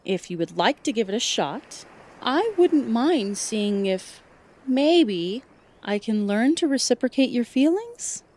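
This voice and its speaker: A woman's voice: a little high, big pitch swings, slow pace, darkish tone, voice pushed up, full character voice. A woman voicing a young, extroverted huntress in an audiobook, affectionate, earnest and playful in an informal, teasing way. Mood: hesitant, sincere. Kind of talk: audiobook fan fiction character dialogue.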